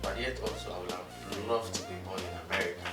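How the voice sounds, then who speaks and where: Low-pitched Ghanaian man, quiet and distant. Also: steady tone, fast talker. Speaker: talk show guest. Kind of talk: casual chat. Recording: quiet, echoey, distant mic with music.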